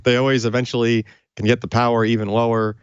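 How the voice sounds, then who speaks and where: A man's voice: medium pitch, level tone, quick pace, clean tone, no room sound. A man co-hosting a casual tech podcast, explaining things in a rambling, informal way, on slightly dull audio. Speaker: podcast co-host.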